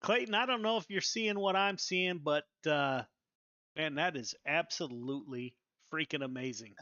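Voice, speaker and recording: Bright-voiced enthusiastic man, lo-fi sound. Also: fairly high pitch, normal speed, no room sound. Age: 50s. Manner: chatty, enthusiastic, folksy. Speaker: race broadcast host. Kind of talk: sim racing commentary and interview.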